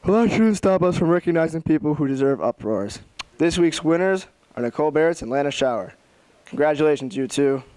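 A man's voice: fairly high pitch, expressive, brisk, mellow, no echo, low-quality recording. A teenage male student presenter giving scripted newscast announcements in a skit. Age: teens. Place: indoor school lounge.

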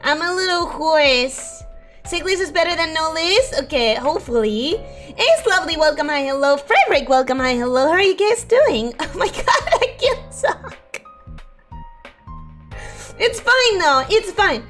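A young woman streaming casually, her voice hoarse and ragged from sickness, giggling often as she reads chat and greets viewers. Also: very high voice, very animated, a little slow, fairly bright tone, soft background music.

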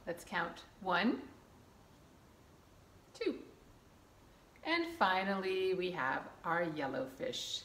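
Slow, bright-voiced woman leading toddler storytime. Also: on the low side, sing-song. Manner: simple short phrases with repetition for toddlers. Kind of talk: children's storytime, reading aloud and counting along. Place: small indoor room with curtain backdrop. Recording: echoey room with clear background noise.

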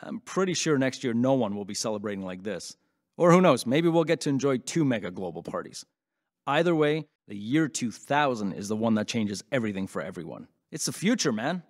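An actor playing an upbeat, boyish radio show host who chats informally and with enthusiasm in an audio drama. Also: male voice, medium pitch, big pitch swings, fairly quick. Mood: teasing, upbeat. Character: upbeat male late-night radio host, chatty and boyish.

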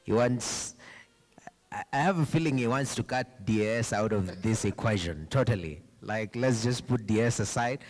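A young man moderating a panel discussion with a handheld microphone, halting and repeating words. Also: mid-range voice. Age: young adult. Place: indoor conference stage.

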